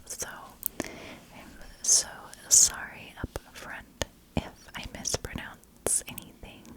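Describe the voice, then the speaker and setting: A woman hosting a storytelling channel in a soft ASMR whisper, with gentle, deliberate phrasing. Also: rough recording. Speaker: host of a spooky storytelling channel.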